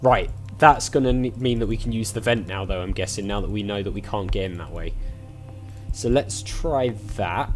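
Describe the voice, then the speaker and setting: A man's voice: fairly low pitch, moderate pace, music in the background. A man giving casual let's-play commentary as a channel host, chatting and thinking aloud in questions as he plays. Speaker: video game player and channel host.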